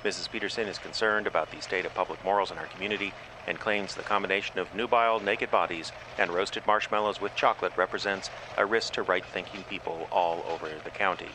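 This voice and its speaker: An actor playing a deadpan small-town radio newsreader delivering a mock news report. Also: male voice, deep voice, lightly acted. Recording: clear background ambient noise.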